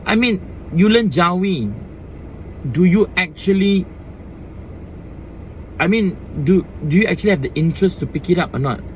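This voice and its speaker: A man's voice: sits high, animated, moderate pace, long pauses, slight background noise, low-quality recording. A young Malaysian man with a Malaysian accent, talking to the camera in a fired-up casual rant and mixing in Malay words. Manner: impassioned, switching between English and Malay. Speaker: vlogger.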